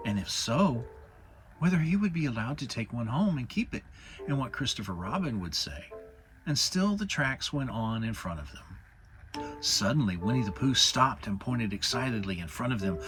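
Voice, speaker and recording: Animated male children's storybook narrator. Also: mid-range voice, rough recording. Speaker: audiobook narrator. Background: music.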